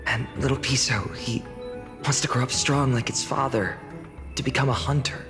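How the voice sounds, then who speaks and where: A man's voice: mid-range voice, steady tone, moderate pace, natural voice. A young man playing a humble village hero in a fantasy game, earnest, hesitant and musing. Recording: long hall reverb under music.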